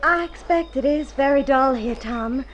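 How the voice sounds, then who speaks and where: A woman's voice: high voice, normal speed, dull audio, close to an everyday voice. An actress playing a sweet, modest young farm girl from the mountains, artless and wistful, in vintage radio drama. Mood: wistful, shy. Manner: artless, wistful, self-deprecating. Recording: old radio recording with some noise.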